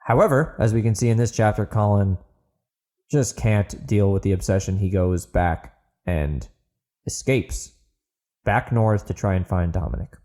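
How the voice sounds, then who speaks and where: A man's voice: fairly low pitch, unhurried, warm tone. A man reading a scripted story as the narrator of an audio book. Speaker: author narrating his audio book podcast.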